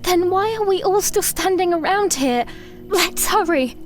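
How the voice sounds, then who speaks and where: A woman acts out a defiant young princess in a fantasy audio drama. Her voice is extremely high, urgent and insistent. She pushes every line with sharp indignation over a bed of music. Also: lively intonation, unhurried.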